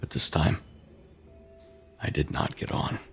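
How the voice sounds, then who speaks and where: A man narrating a scripted first-person horror tale in short, halting phrases with an ominous, dramatic manner. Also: faint music behind.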